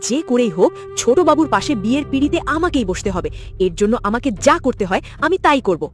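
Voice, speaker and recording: A woman's voice: sits high, expressive, quick pace. A woman voicing a jealous, greedy young village girl in a cartoon, in a dramatic, emphatic manner. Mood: scheming, resentful. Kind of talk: cartoon voice acting. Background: background music.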